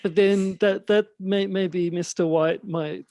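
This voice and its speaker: A man hosting a casual podcast chat, speaking haltingly and often repeating his phrases. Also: high-pitched, fairly even intonation, mellow, no echo. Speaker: podcast host.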